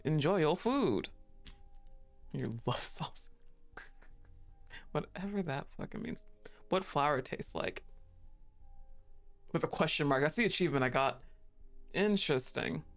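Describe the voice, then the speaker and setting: A young woman with a low, dark-toned voice chats through a game stream. She is playful, laughs a lot and swears freely. Her talk is loose and casual. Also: expressive, slow, pauses often, soft background music.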